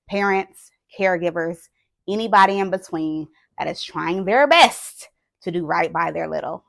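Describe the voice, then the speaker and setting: Expressive woman educator, very dry sound. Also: medium pitch, creaky, dry recording. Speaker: host and experienced early-years educator. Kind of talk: educational talk to parents and teachers.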